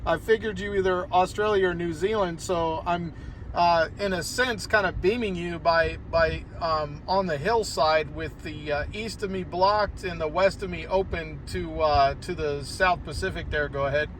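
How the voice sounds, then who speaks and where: A man's voice: high-pitched, moderate pace, fairly bright tone, a little hiss or hum. An American man chatting casually as an amateur radio operator, rambling a little as he talks from inside a car. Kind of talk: casual ham radio conversation. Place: inside a parked car outdoors on a hillside.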